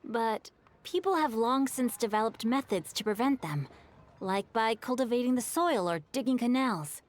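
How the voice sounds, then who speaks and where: A woman's voice: a little high, expressive, normal speed, close to an everyday voice. A woman voicing a polite, bookish young man in a video game, speaking in formal, thoughtful, storytelling phrases. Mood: intrigued. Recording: game dialogue over background music. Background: game music.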